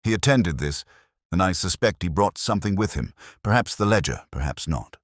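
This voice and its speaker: A man voicing a shrewd middle-aged male police inspector who speaks quietly and deliberately, with a husky voice. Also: low-pitched, expressive, no echo, studio quality, pitched above the performer's own voice. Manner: quiet, measured, probing. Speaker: detective inspector.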